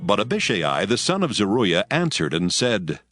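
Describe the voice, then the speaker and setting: A man narrating scripture from a script in a measured, solemn storytelling manner. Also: fairly low pitch, very animated, fairly bright tone, soft background music.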